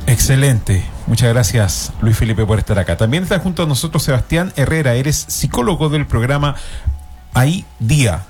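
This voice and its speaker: A man's voice: mid-range voice, lively intonation, normal speed, darkish tone, slight background noise. A man with a Chilean accent hosting a community health radio panel, speaking formally with frequent fillers. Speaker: radio host.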